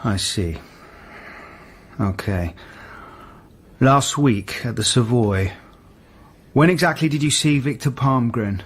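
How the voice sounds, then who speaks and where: An actor playing a patient, probing police detective in a radio drama, questioning in a measured, gently insistent way. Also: male voice, medium pitch, expressive. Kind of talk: naturalistic radio drama acting.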